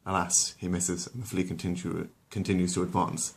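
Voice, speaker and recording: A man's voice: sits low, level tone, moderate pace, vocal fry, husky. A man narrating a story as its host, dramatic in the telling and casual in his asides.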